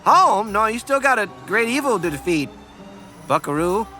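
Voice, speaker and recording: Crazed old cartoon sage: bright, pompous, rambling delivery over background music. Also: male voice, high voice, big pitch swings, moderate pace, full character voice. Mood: flippant, grandiose. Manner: rambling, whimsical, pompous, flippant. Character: crazed, evasive old male sage guiding child adventurers. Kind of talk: comedic animated parody voice acting.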